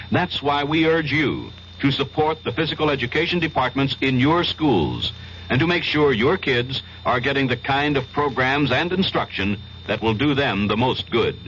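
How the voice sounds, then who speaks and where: A man's voice: medium pitch, pushed and bright. A man reading a scripted public service announcement in the style of 1970s radio. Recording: dull, faintly noisy old radio recording.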